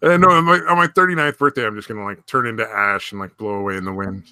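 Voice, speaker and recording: A man's voice: lowish voice, fast, pushed and bright, smooth, no echo, clean studio sound. A man in his late thirties chatting casually on a podcast, swearing easily. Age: 30s. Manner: laid-back, swears casually. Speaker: podcast co-host. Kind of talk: casual chat.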